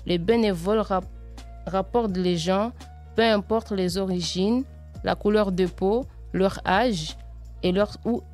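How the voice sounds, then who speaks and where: A young woman student giving a shy, halting presentation for a school podcast. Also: mid-range voice, a little mellow, soft background music.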